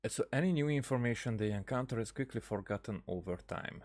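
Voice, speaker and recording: A man giving casual gameplay commentary as a streamer, hesitant and thinking aloud in a halting way. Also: mid-range voice, lively intonation, darkish tone. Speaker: game streamer.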